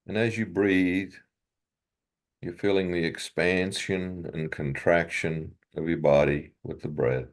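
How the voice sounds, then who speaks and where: Very deep, slow-speaking male meditation guide. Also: fairly even intonation. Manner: gentle, unhurried, with long pauses.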